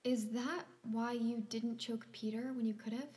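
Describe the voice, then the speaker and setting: Haunted woman with dark desires played by an actress, higher register, hushed delivery, theatrical drama. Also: flat delivery, normal speed, lightly acted. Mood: quietly confessing. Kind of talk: stage-play dialogue acting.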